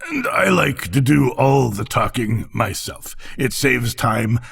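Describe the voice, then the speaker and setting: Man as small smug frog, complacent, chatty, husky, lively pitch, storybook character. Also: full character voice. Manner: complacent, drawn-out self-satisfaction. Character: little male frog, complacent, smug and talkative.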